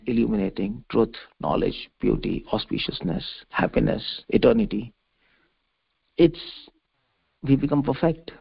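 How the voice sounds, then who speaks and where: Gravelly male preacher, dull call audio. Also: mid-range voice. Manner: emphatic, repetitive, halting phrases with restated words. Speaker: preacher giving a scripture lecture. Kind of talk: religious discourse, explaining a verse. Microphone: conference call.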